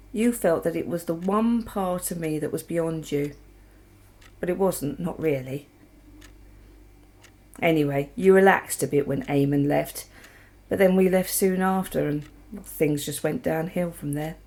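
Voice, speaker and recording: An actress playing a reflective, haunted wife in a radio play, with a measured way of speaking. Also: female voice, sits low, a little mellow. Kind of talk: radio play acting with letter-like narration.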